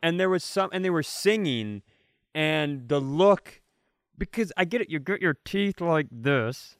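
A man chats casually as a comedy podcast co-host. His voice sits a little high and sounds smooth, with a very dry, close recording. He rambles wryly as he talks. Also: lively intonation, unhurried, no room sound.

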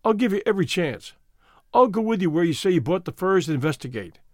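Guy reading as a steady unafraid detective, measured and plain, kinda high voice, dry sound, storybook. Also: no room sound. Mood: calm, firm. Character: calm, fair-dealing, unafraid police detective.

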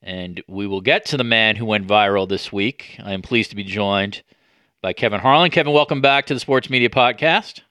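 Male podcast host, lower register, conversational, hesitant, quieter phrase endings, dry close mic. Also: moderate pace, smooth, no echo.

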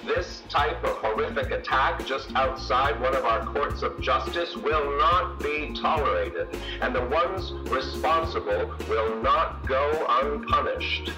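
A stern government official, played by a man, speaks formally and with measured condemnation in a historical audio drama. His voice echoes strongly, with music clearly underneath. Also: mid-range voice, lightly acted. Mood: solemn, stern. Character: stern male government official making a solemn public statement.

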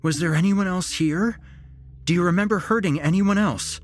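Man voicing an urgent, high-pitched woman doctor. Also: normal speed, soft background music, close to an everyday voice. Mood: urgent, pleading. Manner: insistent, pleading.

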